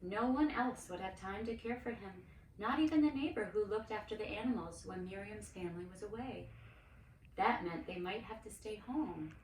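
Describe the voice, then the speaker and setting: A woman reading a children's story aloud expressively, with dramatic, deliberate phrasing. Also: medium pitch. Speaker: storybook reader, cantor. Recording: roomy, distant mic with faint noise.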